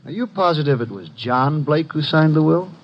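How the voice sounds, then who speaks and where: An actor playing a formal, earnest and insistent gentleman in a 1950s radio mystery. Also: a little high, lively intonation, normal speed. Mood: firm, skeptical. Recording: muffled, hissy vintage radio recording.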